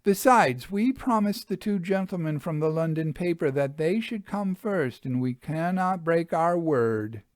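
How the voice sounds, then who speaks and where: Storybook role: firm, fussy farmer's wife , acted by a man, pitched up, politely insistent. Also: animated, a little slow, hardly any pauses, dry recording. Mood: firm, apologetic.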